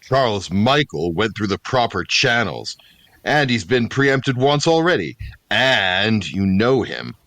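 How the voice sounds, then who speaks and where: A male actor as a stern morgue keeper in an audio drama. His low voice is dry and close, swinging with irritation and scorn. He speaks formally and curtly, guarding what he has. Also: very animated, takes it slowly, a bit bright, no room sound, full character voice. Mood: cold, dismissive.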